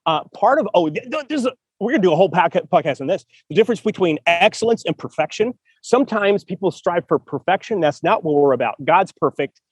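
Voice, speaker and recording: A man's voice: high voice, animated, quick pace, breathy edge, no echo. A man hosting a podcast, giving encouraging writing advice in casual chat, often restarting phrases and laughing. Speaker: podcast host.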